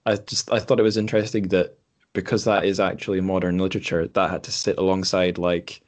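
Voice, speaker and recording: A man's voice: deep voice, flat delivery, fairly quick. A man hosting a podcast in casual, unscripted chat, hesitant and correcting himself as he thinks aloud. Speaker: podcast host. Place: room in a home.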